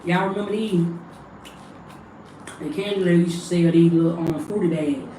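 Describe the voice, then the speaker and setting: A woman with a raspy, hoarse voice, chatting loosely on a livestream as a spiritual reader and calling her viewers family. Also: on the low side, moderate pace, dark tone, slight background noise. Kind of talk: casual livestream chat. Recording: echoey room, mic at a distance.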